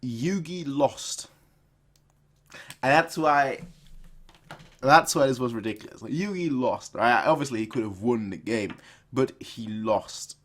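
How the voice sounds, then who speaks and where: A man's voice: medium pitch, a little slow, pauses often, fairly bright tone. A man giving casual reaction commentary in a rambling way, often repeating phrases for emphasis. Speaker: reaction video host.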